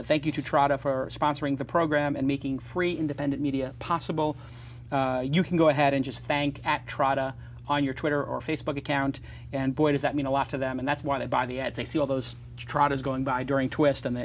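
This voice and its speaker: A man hosting a casual startup interview show, talking fast and joking, with opinionated asides and sponsor reads. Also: mid-range voice, little pitch movement, slight background noise. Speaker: host.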